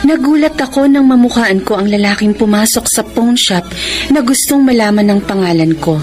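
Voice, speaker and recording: An actress with a Filipino accent playing a shy, lovelorn young pawnshop appraiser in a radio drama. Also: female voice, fairly high pitch, normal speed, darkish tone, faint music behind, natural voice. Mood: confiding.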